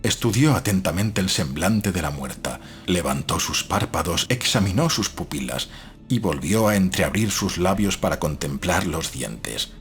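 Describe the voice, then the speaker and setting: An actor playing a troubled, doubting man who narrates a gothic horror audiobook in a measured, literary and dramatic way. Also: low voice, animated, soft background music. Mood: uneasy, measured.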